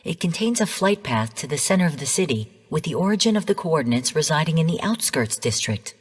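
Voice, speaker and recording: An actress playing a female artificial intelligence in a video game, speaking in a measured, formal, clinical manner. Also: low-pitched, sing-song, husky, full character voice. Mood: commanding, encouraging. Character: calm female rogue artificial intelligence mentor turned genocidal overseer. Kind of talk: scripted video game voice lines. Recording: long hall-like reverb.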